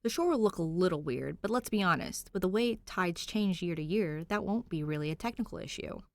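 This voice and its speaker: A woman's voice: fairly low pitch, expressive, brisk, vocal fry, a little mellow, no room sound. A woman voicing a sunny, friendly young man with an easygoing big-brother manner, in fan fiction dialogue. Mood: thoughtful. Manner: easygoing, good-natured.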